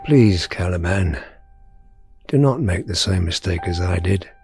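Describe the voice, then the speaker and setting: A slow, grave older mutant leader. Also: male voice, deep voice, expressive, darkish tone. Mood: sorrowful, solemn. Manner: measured, solemn, formal. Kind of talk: audio drama acting. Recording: music under the voice.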